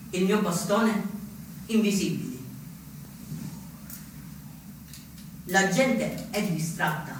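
High-voiced young anarchist. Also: male voice, a bit bright, slight background noise. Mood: bitter, subdued. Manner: impassioned, insistent, with emphatic repetitions. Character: rebellious young male anarchist from southern Italy, defiant outsider. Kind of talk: theatrical first-person monologue. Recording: echoey distant stage recording with music.

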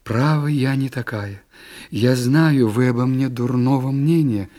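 A man voicing a remorseful young princess in a literary audiobook, speaking quietly, haltingly and with gentle insistence. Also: mid-range voice, lightly acted. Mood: wistful remorse.

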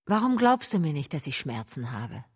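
An actress playing an ailing, bedridden mother in a radio drama, plaintive and weary, with a weak, husky voice. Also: deep voice, sing-song, normal speed, background noise. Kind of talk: naturalistic German radio drama acting.

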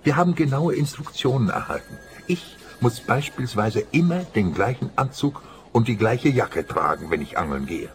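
An actor playing an uneasy, earnest older pensioner in a radio play, measured and resigned. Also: male voice, mid-range voice, animated, a little hiss or hum, natural voice. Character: uneasy, earnest male pensioner, henpecked husband. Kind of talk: German-language radio play dialogue.

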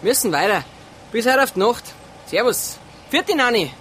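An actor playing a chatty police investigator with a colloquial, breezy manner and a Bavarian accent. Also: male voice, very high voice, lively intonation, normal speed, fairly bright tone, a little hiss or hum. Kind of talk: naturalistic radio drama acting.

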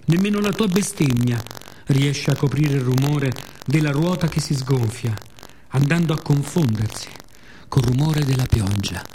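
A man's voice: mid-range voice, a little slow. Theatrical monologist, man, noisy recording. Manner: expressive, with playful spoken sound effects.

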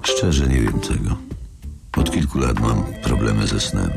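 Creepy old gentleman in a radio drama, super deep creaky voice, calm philosophical threats. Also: full character voice. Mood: menacing, musing. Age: older. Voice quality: very deep gravelly voice. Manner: slow, deliberate, formally polite, needling. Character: menacing older married man with a grown daughter, coolly philosophical. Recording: studio radio play with music underneath.

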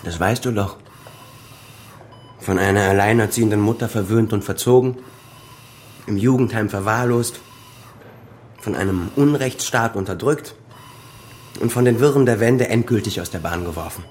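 Actor as middle-aged man, low voice, bitter, sarcastic, accusing, radio crime drama. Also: moderate pace, long pauses, a little mellow, slight background noise, close to an everyday voice. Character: bitter, cynical grown son confronting his father. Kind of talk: naturalistic German radio drama acting.

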